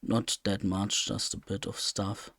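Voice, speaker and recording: A man's voice: on the low side, level tone, a little slow, creaky, a bit bright, dry recording, studio quality. A man giving casual, unscripted gameplay commentary, hesitant and rambling as he thinks aloud. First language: German. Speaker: let's play host.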